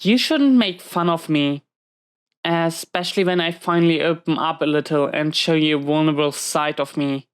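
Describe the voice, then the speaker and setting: A woman's voice: sits low, normal speed, clean tone, natural voice. A woman playing a dominant, guarded prison inmate, stern but secretly tender, in an intimate roleplay audio drama, giving clipped orders and hesitant confessions. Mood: hurt, stern.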